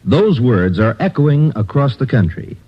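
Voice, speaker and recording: An actor reading a 1950s radio commercial as an announcer, husky and salesman-like. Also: medium pitch, very animated, unhurried. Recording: dull lo-fi old radio broadcast.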